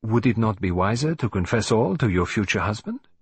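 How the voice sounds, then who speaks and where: A man's voice: on the low side, very animated, fairly quick, breathy edge, no room sound, full character voice. A man voicing a fussy, vain older male detective with a put-on French accent, in a precise, self-satisfied manner. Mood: attentive. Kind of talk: audiobook reading.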